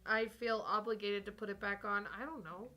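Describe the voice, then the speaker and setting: A woman's voice: mid-range voice, fairly even intonation, brisk, pushed and bright, a little hiss or hum. A woman bantering casually as a player in a tabletop game, with a flippant, dry manner.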